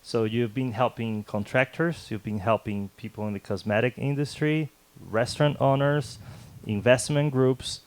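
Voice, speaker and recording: A man's voice: medium pitch, normal speed. Friendly Brazilian-accented male interviewer. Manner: friendly, playful, affirming. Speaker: interviewer, host. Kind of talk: conversational interview.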